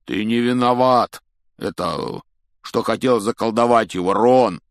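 A man voicing a big, good-natured giant groundskeeper for a storybook, with a gruff, folksy, blunt way of talking. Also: fairly low pitch, slow pace, no room sound, studio quality. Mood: loud, consoling. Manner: hesitant, folksy, teasing, blunt.

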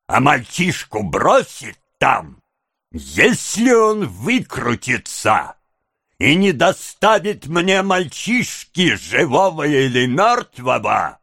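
Man playing a slow, scheming old gang boss. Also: low-pitched, no room sound, studio quality, heavily acted. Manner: slow, drawn-out, theatrical delivery. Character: scheming old male gang boss, wheedling, vicious when crossed. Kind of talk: dramatised audiobook character.

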